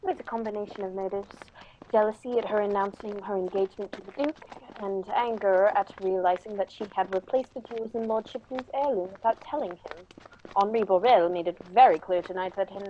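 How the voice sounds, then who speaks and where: A woman playing a composed, courteous male detective in a 1940s-style radio play, speaking in a measured, formal way. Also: medium pitch, warm tone, lightly acted. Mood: composed, explanatory. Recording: dull, noisy lo-fi home recording.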